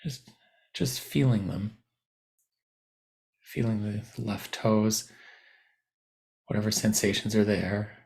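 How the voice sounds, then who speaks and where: Male meditation teacher, lowish gravelly register, gentle and slow to move on, instructing with spacious pauses. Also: steady tone. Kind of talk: guided body scan meditation.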